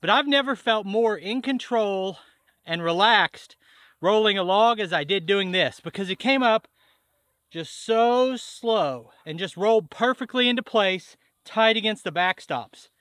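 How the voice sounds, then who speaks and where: A man in his thirties talks through a farm project in a chatty, step-by-step way. His voice sits high and sounds bright and forceful. The sound is dry and close, with no room echo. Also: animated, measured pace. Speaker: farm vlogger. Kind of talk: casual how-to vlog. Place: outdoors at a farm, by a garage and a pond.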